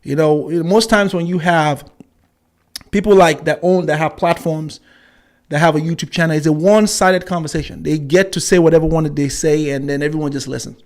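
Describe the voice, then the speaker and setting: High-voiced man in his forties ranting. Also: a little mellow. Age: 40s. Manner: combative, repeats himself for emphasis, frequent fillers. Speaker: channel host. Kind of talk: live-stream commentary.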